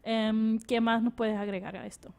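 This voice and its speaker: A woman hosting a radio interview, halting with fillers and switching between languages. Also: on the high side, level tone, a little mellow. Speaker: radio show host.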